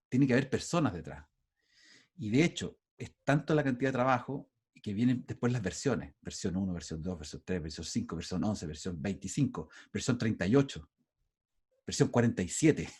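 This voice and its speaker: Chilean guy teaching over a video call, husky voice, kinda rambly, keeps restarting. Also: fairly low pitch, animated, moderate pace, darkish tone. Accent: Chilean Spanish. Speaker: university lecturer. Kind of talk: online class lecture.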